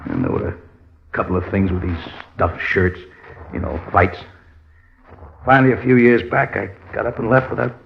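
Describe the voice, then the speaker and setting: Creaky male voice, anguished American sailor, halting, plaintive, rough, 1950s radio play, faint noise. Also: on the low side, expressive. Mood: regretful. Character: troubled, rough, remorseful jailed American sailor. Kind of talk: 1950s radio drama acting. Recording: dull, faintly noisy old radio broadcast.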